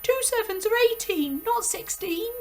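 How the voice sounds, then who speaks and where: A terrified little schoolboy, voiced by a woman reading a storybook, squealing his answers in a high, strained, panicky voice. He sounds near tears and a bit far from the mic. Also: expressive, measured pace, heavily acted. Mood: panicked. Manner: shrill, crying out. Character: small frightened schoolboy, panicky.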